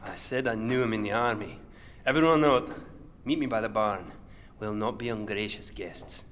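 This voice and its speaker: A man's voice: on the low side, fairly bright tone, close to an everyday voice. Man playing a stern veteran soldier, background noise. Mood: commanding. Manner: measured, commanding, formal. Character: stern, principled male army veteran leading travelers. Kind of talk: fantasy audio drama acting.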